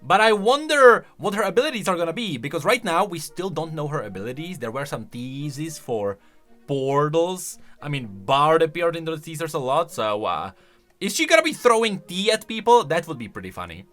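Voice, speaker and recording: A man with a bright, forceful voice gives casual commentary as a gaming channel host. He is highly animated and excitable, thinking aloud as he goes, with light music underneath. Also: normal speed. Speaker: host of a game lore channel.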